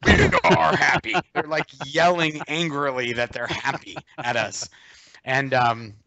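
A man in his forties on a video call, casually telling a story in an animated way and acting out what others said. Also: medium pitch, unhurried, gravelly, no room sound, dull audio. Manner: animated, acts out quoted voices, laughs as he tells it. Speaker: interview guest.

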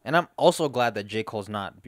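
A young man co-hosting a podcast from a living room, chatting casually on a boom mic with headphones, laughing often and swearing freely. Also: lively intonation, quick pace, no echo, studio quality. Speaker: podcast co-host. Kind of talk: casual chat. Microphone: boom mic on arm, headphones.

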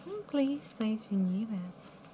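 A young woman deals cards at a studio casino table and calls each round in a soft, mumbly voice. She speaks slowly and politely, with a mellow, warm tone. A faint hum of room ambience sits behind her. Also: a little high. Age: young adult. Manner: calm, polite, repetitive dealer calls. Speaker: live casino card dealer. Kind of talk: announcing card game rounds.

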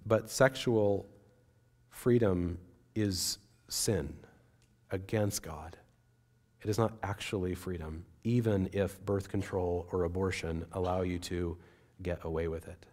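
A man in his forties preaches from behind a wooden pulpit on a church stage. His voice sits a little low, and he speaks in an earnest, measured way. He often voices the doubts of his listeners as quotes. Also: steady tone, a little mellow. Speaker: pastor. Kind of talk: sermon.

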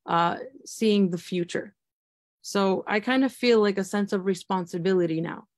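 A woman's voice: on the low side, no echo. A woman teacher on a panel, speaking earnestly and reflectively, with many fillers and restarts. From United States. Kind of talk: panel discussion answer.

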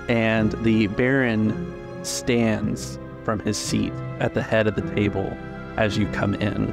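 A man working as game master, improvising narration for a tabletop roleplay with halting, measured phrasing. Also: fairly low pitch, creaky. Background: music.

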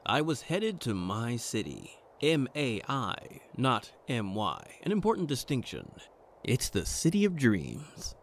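A man's voice: medium pitch, wildly swooping pitch, unhurried, slight background noise. Man playing a brooding noir detective with swooping delivery. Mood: earnest, hopeful. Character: brooding futuristic private detective, earnest and wry. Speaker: detective narrator. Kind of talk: noir audio drama narration.

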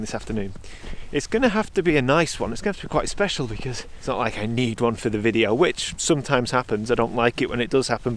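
A man's voice: mid-range voice, a little hiss or hum. A man, a landscape photographer casually narrating his vlog outdoors, thinking aloud. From England. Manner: reflective, thinking aloud with fillers. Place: outdoors in winter Scottish highlands.

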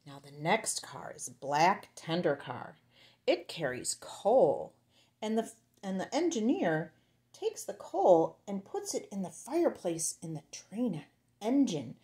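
A woman's voice: mid-range voice, big pitch swings, slow pace, mellow. A woman reading a picture book aloud to small children, sing-song and playful, speaking to them directly. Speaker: children's storyteller.

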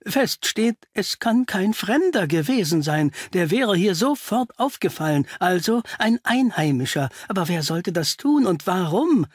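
A man voicing a rattled, agitated village doctor who talks haltingly in a storybook reading. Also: sits high, big pitch swings, breathy edge, no room sound, clean studio sound, voice pushed up, full character voice. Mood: flustered.

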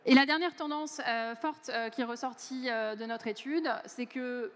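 A woman's voice: on the high side, fairly even intonation, moderate pace, fairly bright tone. A woman in her forties giving a conference keynote on a large stage through a headset mic, speaking fluently but with many hesitant fillers. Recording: long hall reverb.

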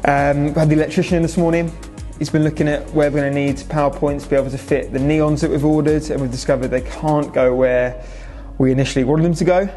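Young guy from england walking thru a reno, pretty flat voice, lots of so and obviously, bit of music behind. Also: a little high, fast, hardly any pauses. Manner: casual, matter-of-fact, lots of "so" and "obviously". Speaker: restaurant owner. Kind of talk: vlog update on a restaurant renovation. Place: restaurant under renovation, indoors.

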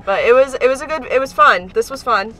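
A woman's voice: high-pitched, fast talker, background noise. A woman in her twenties chatting casually in a playful, offhand way as a guest in a car. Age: 20s. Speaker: guest on friend's vlog. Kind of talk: casual chat. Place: inside a parked car.

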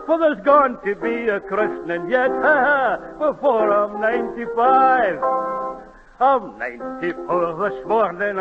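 A man in his sixties performing a comic Scottish song on 1930s radio. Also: high voice. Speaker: amateur singer of Scotch songs. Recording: tinny, narrow 1930s radio broadcast.

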